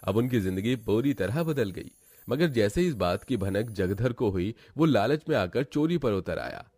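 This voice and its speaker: A man's voice: fairly low pitch, expressive, normal speed, a little mellow, slightly rough, no echo. A man narrating a scripted cartoon folk tale as storyteller.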